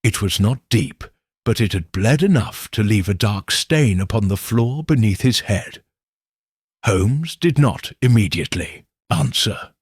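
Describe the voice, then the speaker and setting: A man narrating a detective story in the first person with slow, expressive delivery. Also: lowish voice, slightly rough, no echo, studio quality. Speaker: audiobook narrator.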